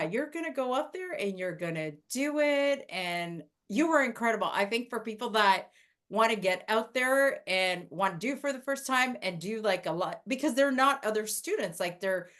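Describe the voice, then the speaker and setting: Female podcast host, higher voice, warm gushing chat over a video call. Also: animated, normal speed. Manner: gushing, enthusiastic storyteller. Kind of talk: casual interview chat.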